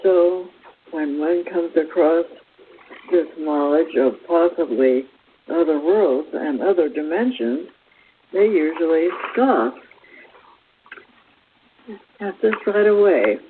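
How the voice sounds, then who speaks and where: A woman hosting and reading a spiritual book aloud in a deliberate, halting way, stumbling here and there. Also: low-pitched, slight background noise. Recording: thin phone-like radio audio.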